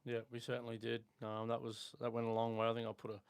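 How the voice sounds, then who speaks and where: An Australian man hosting a live sports podcast, chatting in a relaxed way with frequent ums and restarts. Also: medium pitch, quick pace, gravelly, smooth. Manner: relaxed, conversational with fillers. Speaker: podcast host. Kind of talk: casual sports chat.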